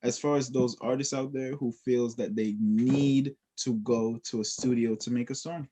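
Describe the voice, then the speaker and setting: A man's voice: medium pitch, fairly even intonation, normal speed, mellow. A young man chatting casually, slangy and full of questions. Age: young adult. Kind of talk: casual chat.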